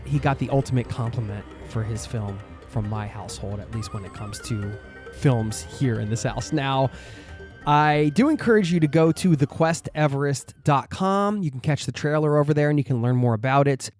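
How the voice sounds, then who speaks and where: A man's voice: medium pitch, animated, moderate pace, darkish tone, faint music behind. A man hosting a travel podcast, reading a friendly intro and then chatting casually with his guest. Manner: upbeat, friendly, joking with guest. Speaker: podcast host.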